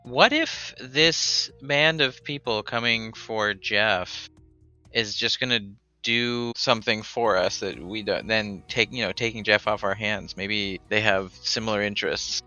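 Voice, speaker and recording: A man's voice: lowish voice, measured pace, sharp tone, smooth, faint music behind. A man playing a tabletop game, thinking aloud hesitantly about plans. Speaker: player. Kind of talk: casual tabletop game chat. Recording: dull lo-fi mic.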